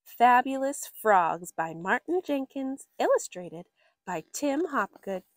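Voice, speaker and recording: Expressive woman reading slowly to children. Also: a little high, no echo. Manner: expressive, drawn-out emphasis for young listeners. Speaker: story reader for children. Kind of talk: read-aloud of a children's nature picture book.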